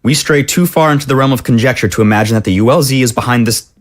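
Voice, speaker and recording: A man's voice: medium pitch, fast talker, lightly acted. A man playing a cautious, dismissive mayor in an audio drama, speaking in a formal, wordy and officious way. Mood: skeptical, measured. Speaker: voice actor. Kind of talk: scripted audio drama acting.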